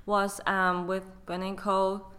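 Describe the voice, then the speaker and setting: Bright-voiced woman with a Hong Kong accent. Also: mid-range voice, completely flat delivery, takes it slowly. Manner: friendly, explanatory, frequent ums. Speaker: guest costume designer. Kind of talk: podcast interview.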